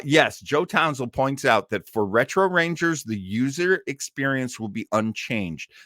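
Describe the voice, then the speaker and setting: A man's voice: medium pitch, fairly bright tone, no room sound, studio quality. A man hosting an online show, chatting casually in a rambling, folksy, enthusiastic way as he signs off to viewers. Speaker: host of an online listening-party show. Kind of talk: casual livestream sign-off chat.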